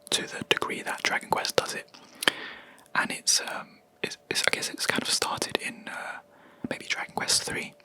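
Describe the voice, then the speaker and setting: A young adult video creator, whispering a rambling, hesitant gaming chat close to the mic in a quiet room. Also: rough recording. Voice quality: soft unvoiced whisper throughout. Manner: rambling, hesitant, with many ums and self-corrections.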